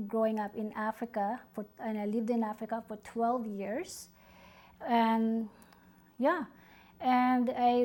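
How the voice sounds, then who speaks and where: A middle-aged woman from the Philippines talks as a guest in a studio interview. Her voice is fairly high but mellow and flat. She speaks very slowly and haltingly, in an earnest manner. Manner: slow, halting, earnest, soft-spoken. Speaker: author and guest.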